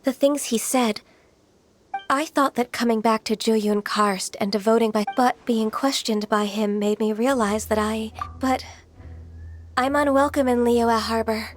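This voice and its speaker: An actress playing a gentle, earnest young adeptus in training in an anime game, speaking politely and a little hesitantly. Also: female voice, a little high, steady tone, unhurried, faint music behind, natural voice. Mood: hesitant, downcast.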